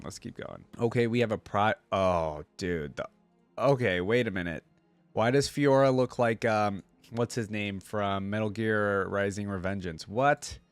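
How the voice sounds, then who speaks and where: Low-voiced man giving casual reaction commentary. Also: no room sound. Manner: slangy, informal, thinking aloud. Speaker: reaction video host.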